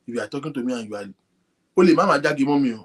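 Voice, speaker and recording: Soft-spoken husky man hosting. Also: medium pitch, racing. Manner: speaks softly, animated, addresses viewers directly. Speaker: online show host. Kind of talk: casual talk to a live audience. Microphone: phone linked to a computer.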